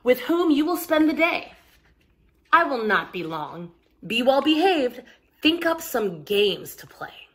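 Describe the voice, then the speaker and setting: A woman voicing a busy, caring father in a children's story, giving measured parental instructions. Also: sits high, big pitch swings, a bit bright, heavily acted. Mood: instructing.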